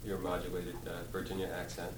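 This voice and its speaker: A man's voice: low-pitched, monotone, fast. A man interviewing for an oral history, giving brief prompts and short follow-up questions. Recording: roomy, distant mic, noisy background.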